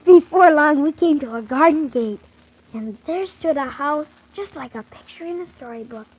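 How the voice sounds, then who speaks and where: Young boy narrator, high voice, earnest and polite; muffled, hissy 1940s radio storytelling. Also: moderate pace. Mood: wondering. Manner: animated, earnest, wondering narration.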